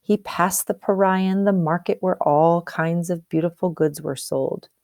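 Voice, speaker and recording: Lower-voiced woman teacher reading aloud. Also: fairly even intonation, a little slow, a little mellow, no room sound, studio quality. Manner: explanatory, asks and answers questions. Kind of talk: storybook read-aloud with teaching questions.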